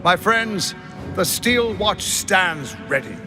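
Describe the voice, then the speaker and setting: Pompous tyrant lord, swooping delivery. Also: male voice, high voice, lively intonation. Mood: ceremonial pride. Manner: pompous, measured oratory. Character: smooth, ambitious tyrant lord scheming for power. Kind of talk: theatrical fantasy game dialogue. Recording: music behind the voice.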